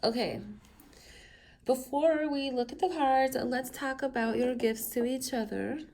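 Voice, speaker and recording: Woman tarot reader talking to camera, stuffy head cold, some vocal fry, chatty and rambling. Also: high voice, measured pace, darkish tone. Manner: chatty, rambling asides with many fillers. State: has a cold, stuffed up and coughing. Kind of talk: casual pick-a-pile tarot reading to camera. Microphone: camera mic.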